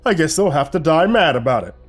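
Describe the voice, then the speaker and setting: Goofy energetic buddy, played by a man, brash, jokey, slightly high, for a spoken horror story. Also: fast talker, husky, soft background music.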